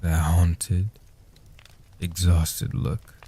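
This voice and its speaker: A man playing an ancient, protective male vampire in a soft-spoken comfort roleplay, speaking in a breathy, hushed, deliberate and soothing way. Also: deep voice, completely flat delivery, heavily acted. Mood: tender, reassuring. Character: ancient male guardian vampire, protective, tender, world-weary.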